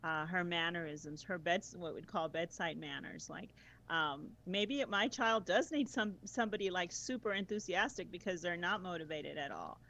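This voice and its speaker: A woman's voice: fairly low pitch, moderate pace, a bit bright, poor audio. A woman guest chatting casually about tutoring, enthusiastic, laughing often and using many fillers. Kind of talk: casual conversational chat.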